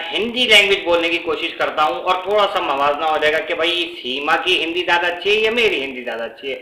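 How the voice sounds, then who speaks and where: A Pakistani man with an Urdu accent speaks in a fairly high, bright voice. He talks haltingly with many fillers and drops in English words now and then. The room rings with echo. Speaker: vlogger. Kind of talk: casual commentary vlog.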